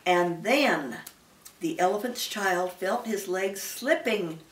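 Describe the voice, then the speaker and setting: A woman's voice: medium pitch, takes it slowly. An elderly woman, a great-grandmother, reading a children's story aloud and adding small asides to the listener. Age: older, great-grandmother. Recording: roomy, echoey, slightly distant mic.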